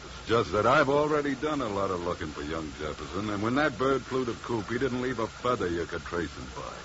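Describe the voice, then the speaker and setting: A muffled old radio recording carries a tough American oil wildcatter. His voice is very low yet swings widely, with a folksy, sardonic, cynical edge, in 1950s drama style. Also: sing-song, a little fast, background noise. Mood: sardonic, dismissive. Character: gruff, cynical male oil wildcatter, grudgingly cooperative. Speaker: supporting actor.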